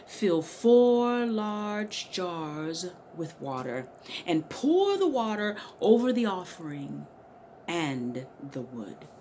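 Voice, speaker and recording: A woman voicing a bold, fervent male prophet with loud, theatrical declamation in a dramatic scripture reading. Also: lowish voice, sing-song, slow, a little hiss or hum, exaggerated voice. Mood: commanding.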